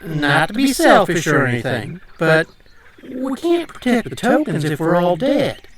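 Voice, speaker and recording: A woman's voice: lowish voice, sing-song, unhurried. A woman playing an anxious, polite talking zebra with a worried, plaintive manner in an audio comedy drama.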